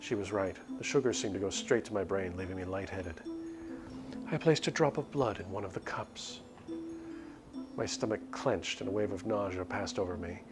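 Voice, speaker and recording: A man with a low voice reads a visual novel aloud for a stream. He moves between dramatic narration and casual commentary. Music is clearly heard behind him. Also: normal speed.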